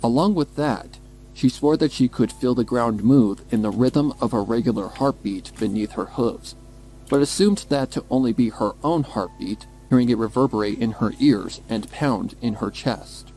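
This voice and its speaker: A man narrating a scripted dark story in a measured, dramatic way. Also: medium pitch, a little hiss or hum, poor audio. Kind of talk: scripted story narration, horror fan fiction. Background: background music.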